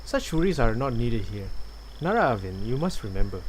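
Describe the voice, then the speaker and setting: A man's voice: mid-range voice, big pitch swings, brisk, noisy background. A man doing casual live gameplay commentary, musing and a little hesitant as he goes. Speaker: game streamer. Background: game music.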